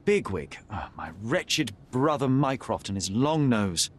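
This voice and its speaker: A young sharp detective, formal and precise, lively pitch. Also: male voice, unhurried, slight background noise. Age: young adult.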